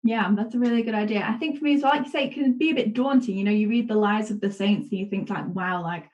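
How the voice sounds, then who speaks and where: A woman's voice: medium pitch, quick pace. Woman co-host, casual chatty delivery, slightly dull online sound. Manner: warm conversational with fillers. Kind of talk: casual faith chat.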